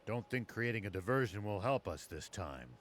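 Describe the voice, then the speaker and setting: A man voicing a grave, decisive old sheriff in a video game, measured and authoritative. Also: on the low side, expressive, natural voice. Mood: thoughtful, measured. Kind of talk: video game cutscene voice acting.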